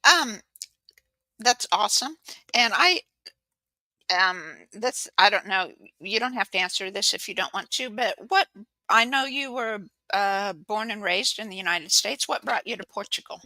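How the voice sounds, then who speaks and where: A woman hosts a podcast over a video call, her voice bright and crisp. She is upbeat and chatty, with plenty of likes, ums and restarts. The sound is dry and close. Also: medium pitch, normal speed, no room sound, rough recording. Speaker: podcast host. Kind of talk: casual interview chat.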